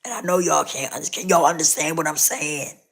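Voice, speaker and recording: A woman hosting a casual live stream, hoarse with a rough, scratchy voice, repeating herself as she talks straight to her viewers. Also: low-pitched, fairly even intonation, brisk.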